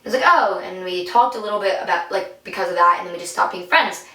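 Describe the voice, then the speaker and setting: A woman's voice: fairly low pitch, fast talker, bright, forceful tone. A young woman co-hosting a podcast while sitting in a bathtub, telling rambling stories and swearing freely. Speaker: podcast co-host. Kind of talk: casual chat. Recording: echoey bathroom, mic at a distance.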